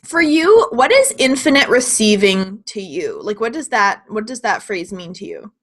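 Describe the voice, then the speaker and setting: A woman hosting a video interview as a creativity coach, upbeat, laughing often and ending her points with a quick "right?". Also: medium pitch, very animated, a bit bright.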